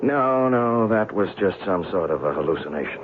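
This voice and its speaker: Low-voiced, skeptical radio drama investigator. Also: male voice, expressive, moderate pace. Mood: defensive, flustered. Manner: colloquial, hesitant, wry. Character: skeptical, wry, weary male scientific investigator smitten by a mysterious girl. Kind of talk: old-time radio drama acting with first-person narration. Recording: noisy, muffled old radio show recording.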